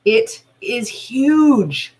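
A middle-aged woman teacher reading aloud to children, deliberate, adding explanations and questions for young listeners. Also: a little high, sing-song, darkish tone. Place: small room at home, indoors.

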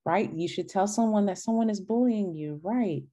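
A woman's voice: mid-range voice, normal speed, dark tone. A young adult woman leading a children's storytime, reading and talking in an encouraging way over a video call from a room at home. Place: small indoor room at home. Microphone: video call, laptop microphone.

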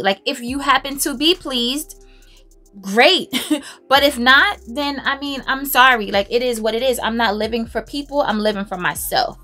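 Bright, self-assured woman vlogger. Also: on the high side. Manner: chatty, emphatic, self-assured, laughs often. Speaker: beauty vlogger. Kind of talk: casual chat while doing makeup. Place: walk-in closet at home. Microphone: camera on a stand, close.

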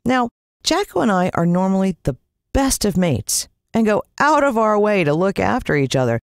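A woman's voice: mid-range voice, big pitch swings, moderate pace, dry recording, clean studio sound. A woman with an American accent narrating a story in a dramatic, suspenseful way, drawing out words. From United States. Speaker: audiobook narrator.

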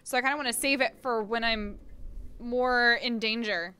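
A woman's voice: fairly high pitch, fairly even intonation, moderate pace, sharp tone, clear, resonant tone. A young woman live streaming a video game with casual, giggly commentary and some easy swearing.

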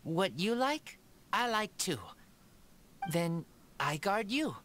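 A woman playing a shy, gentle, loyal feral boy raised by wolves in a video game, speaking in halting broken sentences. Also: medium pitch, very animated, a little hiss or hum. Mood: protective, earnest. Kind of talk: video game character dialogue. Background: game background music.

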